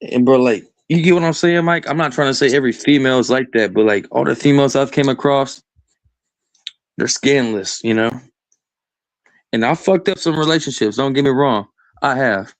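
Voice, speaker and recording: A man's voice: medium pitch, normal speed, no echo. A man of twenty-two in casual, crude chat, rambling and saying "bro" and "like" constantly.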